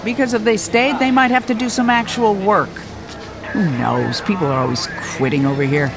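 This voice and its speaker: A woman's voice: medium pitch, moderate pace, close to an everyday voice. A woman acting in an audio drama as a brusque, sarcastic, hot-tempered bus company boss. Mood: sarcastic, irritated.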